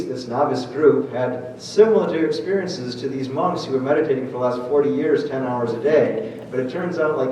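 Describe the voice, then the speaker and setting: A man, a priest, talking casually to his parish and answering questions, full of "like" and "I mean". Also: mid-range voice. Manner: animated, filler-heavy, lots of "like". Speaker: priest giving a parish talk. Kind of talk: casual talk and Q&A. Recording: echoey church hall, distant mic, noisy.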